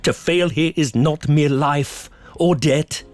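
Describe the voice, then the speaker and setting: An actor playing a grave older professor who hunts vampires, speaking in solemn, deliberate oratory in a horror audio drama. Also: male voice, on the high side, a little mellow, no echo. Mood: grave, urgent. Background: music.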